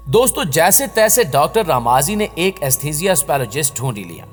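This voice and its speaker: A man reading a scripted dramatic story as a voice-over narrator. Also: a little high. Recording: long reverb on the voice. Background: background music.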